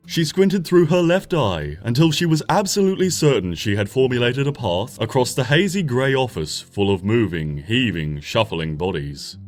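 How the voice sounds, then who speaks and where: A man reads a storybook aloud with a lively, swooping delivery, his pitch leaping up and sinking low from phrase to phrase. His voice sits in a middle register. Soft plucked guitar music plays faintly underneath. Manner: expressive, swings between bright and hushed. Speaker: fanfiction reader. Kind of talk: storybook narration.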